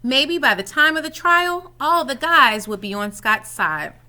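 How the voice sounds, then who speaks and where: A woman reads a children's storybook aloud with a bright, higher-pitched voice. Her delivery is very lively and expressive, swinging through the sentences as she dramatizes the action. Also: unhurried. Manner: animated, dramatizes dialogue for children. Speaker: reading coach reading aloud.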